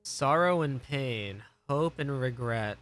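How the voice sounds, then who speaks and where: A man's voice: mid-range voice, lively intonation, slow, fairly bright tone. A man streaming a video game, giving casual live commentary and questioning things aloud as he plays. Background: game music.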